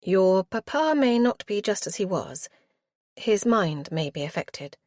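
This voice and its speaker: A woman voicing a brisk, tart married lady of the Regency era who speaks with crisp formality. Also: mid-range voice, big pitch swings, a little mellow, dry recording, clean studio sound, voice pushed up.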